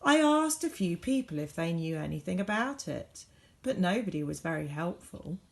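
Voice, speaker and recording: Expressive woman storyteller with lively pitch. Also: creaky. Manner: dramatic, varied emphasis with deliberate pauses. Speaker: teacher reading a storybook aloud. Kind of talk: expressive children's storybook reading.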